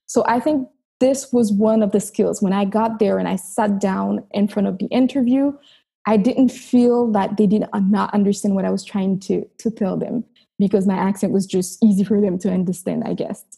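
A woman's voice: medium pitch, fairly even intonation, normal speed, vocal fry, mellow, breathy edge. A woman from Haiti whose first language is Haitian Creole, telling her story as a chiropractor guest in an earnest, reflective interview. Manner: earnest, reflective, with frequent restarts.

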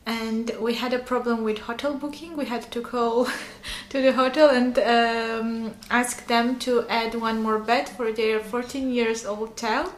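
A woman's voice: fairly high pitch, steady tone, unhurried, few pauses. Laughing young woman. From Armenia. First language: Armenian. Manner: laughs often, many fillers. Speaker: guest. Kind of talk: casual podcast chat.

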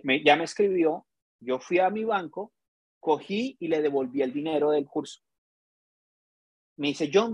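Man, Colombian accent, business coach, husky, dry close sound, emphatic storyteller. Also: on the high side, darkish tone, dry recording, rough recording. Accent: Colombian Spanish. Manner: storytelling, acts out dialogue, emphatic. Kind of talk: casual podcast chat.

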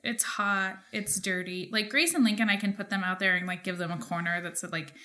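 A woman's voice: mid-range voice, brisk, bright, forceful tone. An American woman in her thirties with a mid-range voice chats casually as a podcast co-host. Her phrasing is loose and informal, with "like" scattered through it. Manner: informal, frequent "like" as filler. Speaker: podcast co-host and market gardener. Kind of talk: casual conversational chat.

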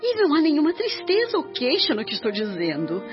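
A Brazilian woman acting a serene, devoted spirit mother in a radio drama, speaking in a solemn, measured way. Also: high-pitched, huge pitch swings. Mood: serene, earnest. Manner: solemn, measured, edifying delivery. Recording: dull lo-fi audio under music.

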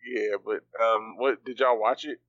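Laid-back low-voiced man, rambling. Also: fairly even intonation, a little slow, fairly bright tone, dull audio. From part Bahamian. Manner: loose, rambling, lots of fillers and slang. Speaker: podcast co-host. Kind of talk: casual chat.

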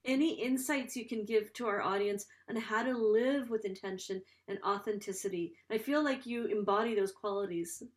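Measured woman podcast host. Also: mid-range voice. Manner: complimentary, measured questions. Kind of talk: interview questions.

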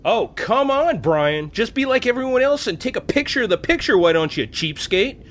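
Guy playing irritated sarcastic friend, high voice, swoopy delivery, comedy audio drama. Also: animated, moderate pace, slight background noise. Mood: sarcastic, annoyed. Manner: sarcastic, emphatic. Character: irritable sarcastic tour guest, man, needling his friends.

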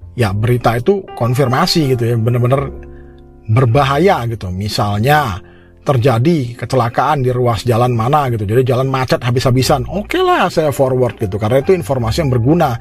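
Indonesian man preaching over prominent music. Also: mid-range voice. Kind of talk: informal sermon, direct advice to listeners.

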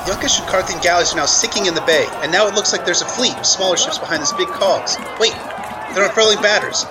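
A man's voice: high-pitched, fast, a loud crowd, natural voice. A man playing an earnest war correspondent reporting in a mock live news radio play. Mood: urgent. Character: earnest male embedded war correspondent in an army camp. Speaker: guest host. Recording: loud wind and outdoor noise.

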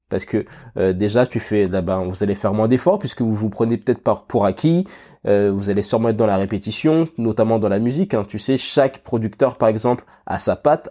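A man's voice: mid-range voice, warm tone. A man with a cold hosting a casual solo podcast, thinking aloud with many fillers and restarts and talking straight to the listener. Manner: addresses listener directly with "tu vois". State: has a cold, sniffling. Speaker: podcast host.

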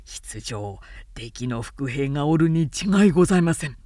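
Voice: mid-range voice, expressive, normal speed, warm tone, no echo, natural voice. An anxious officer in a historical tale, acted by a woman narrator, addressing a lord. The delivery is formal, deferential and emphatic, with an urgent edge. The sound is dry, as in an audiobook. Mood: dutiful, urgent.

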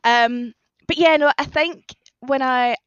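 Higher-pitched woman, slightly muffled sound. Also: takes it slowly, clean tone, no room sound. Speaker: guest author on a fan fiction podcast. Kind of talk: casual podcast discussion.

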